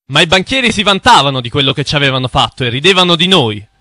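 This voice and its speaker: A man's voice: on the high side, lively intonation, fast, sharp tone, dry recording, clean studio sound. A man playing an intense, bitter cartoon crusader with a grave, preachy, dramatic delivery. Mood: grave, indignant. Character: intense, bitter conspiracy-minded man on a crusade against bankers. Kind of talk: dubbed cartoon dialogue.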